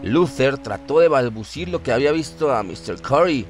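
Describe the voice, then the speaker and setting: A man's voice: mid-range voice, animated, moderate pace. Man narrating a horror story over music. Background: music behind the voice.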